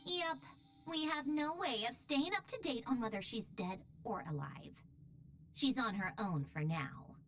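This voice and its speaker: A woman's voice: a little high, lively intonation, a little slow, over music. An actress playing a brainy, proud, blunt young woman researcher in a video game, with an emphatic, haughty delivery. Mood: grave, frank.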